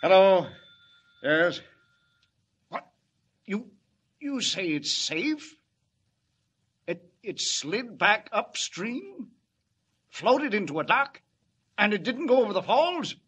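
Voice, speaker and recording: An actor playing a flustered, excitable city commissioner who blusters, stammers and repeats himself, in 1940s radio serial style. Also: male voice, high voice, takes it slowly, long pauses, breathy edge, exaggerated voice. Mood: agitated.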